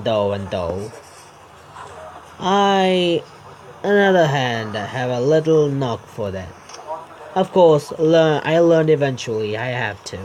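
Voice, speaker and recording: A man's voice: mid-range voice, a little mellow, slight background noise, low-quality recording. A man reading a visual novel's narration aloud for a let's play, often stumbling over the words.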